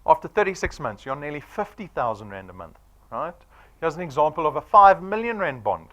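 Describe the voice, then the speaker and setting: A man's voice: on the high side, big pitch swings, a little fast, a bit bright. A man of forty with a South African accent, giving a punchy sales presentation to an audience and firing off rhetorical questions. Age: 40s.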